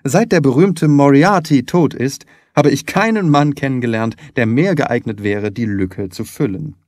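A man's voice: mid-range voice, normal speed, darkish tone, no echo, studio quality. A man reading scripted literary narration as an audiobook narrator.

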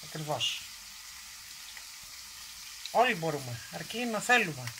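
A woman's voice: sits low, big pitch swings. A middle-aged woman hosting a home cooking demonstration in her kitchen, explaining each step in a homely, encouraging way. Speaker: cooking show host. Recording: kitchen noise behind voice.

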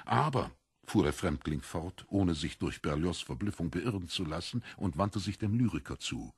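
A man's voice: low voice, lively intonation, normal speed, husky, no echo, clean studio sound. A man narrating a literary audiobook from a script.

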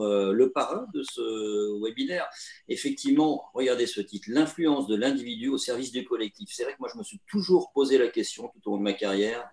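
A middle-aged man hosting an online webinar, lively as he introduces the guest. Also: fairly low pitch, reverberant. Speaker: webinar host.

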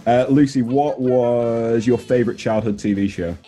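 A man's voice: medium pitch, normal speed, mellow. A young man, an interviewer on a radio show, chatting casually on a headset over a video call. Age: young adult. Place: indoors at home, on a video call.

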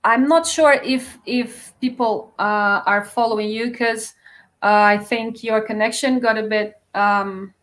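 A woman moderates a teaching webinar from home, asking questions in a calm, polite manner. Her voice sits a touch high and comes through a webcam mic. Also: measured pace. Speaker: webinar host. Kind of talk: hosting a live Q&A. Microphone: webcam on a video call.

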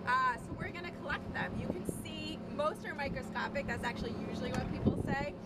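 High-voiced woman teaching over boat noise. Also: brisk. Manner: explains patiently, asks the class questions. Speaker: marine science educator. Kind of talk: teaching students on a field trip. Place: on a boat, deck and cabin. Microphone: handheld camera some distance off. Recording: distant voice under loud boat noise.

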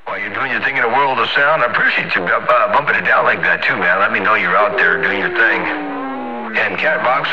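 A man's voice: mid-range voice, bright, forceful tone. An American man bantering casually in CB slang over a radio, folksy and playful, repeating his phrases. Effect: CB radio transmission. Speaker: CB radio operator. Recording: dull, noisy CB radio audio.

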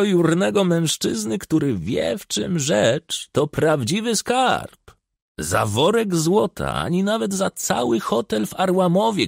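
Casting: male narrator as earthy old countryman, tipsy and boastful, raised husky voice, bawdy storybook swagger. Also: a little high, no room sound, clean studio sound, voice pushed up, full character voice.

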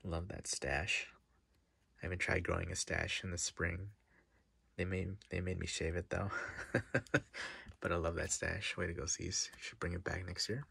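A man's voice: deep voice, flat delivery, normal speed, vocal fry, pauses often, pushed and bright, husky, no room sound. A middle-aged man with a stuffy nose chatting casually as he opens packs of cards at his desk, reading out names and sometimes dropping to a near-whisper. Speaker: card-opening vlogger. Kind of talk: casual commentary while opening card packs. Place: desk in front of a wall of card boxes.

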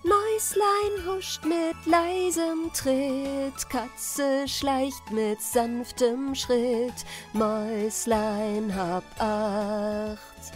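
A woman's voice: high-pitched, fairly even intonation, extremely slow, few pauses, faint music behind. A woman voicing a tiny, playful singing mouse, chanting a storybook rhyme in sing-song.

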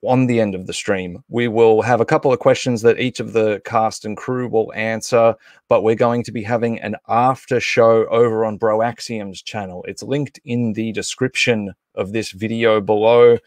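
A man's voice: fairly low pitch, fairly even intonation, moderate pace, a little mellow, no echo. A man in his thirties or forties hosting a livestream, casually making announcements and introductions in an off-the-cuff, hesitant way. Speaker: livestream host.

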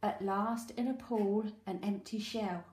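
British female storyteller for young children, slow and expressive, recorded in an echoey room. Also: mid-range voice. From United Kingdom. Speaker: early years teacher reading aloud.

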